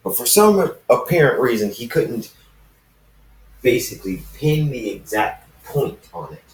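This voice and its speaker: A man reading fan fiction aloud as an amateur narrator, halting and often going back to repeat phrases. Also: medium pitch, big pitch swings. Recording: roomy echo, distant from the mic.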